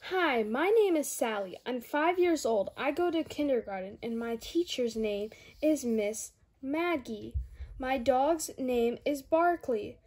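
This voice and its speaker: A woman's voice: high voice, animated, takes it slowly, a little mellow, heavily acted. A young girl voicing a chatty, eager five-year-old pig girl from a storybook. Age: child.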